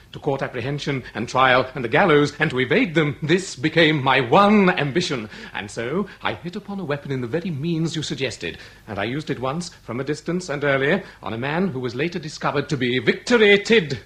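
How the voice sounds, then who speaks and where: A man's voice: medium pitch, lively intonation, brisk, hardly any pauses, a bit bright, natural voice. A man acting a proud, cold-blooded aristocratic hunter villain in a period radio melodrama, declaiming in florid formal phrases. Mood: grandiose confession. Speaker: actor.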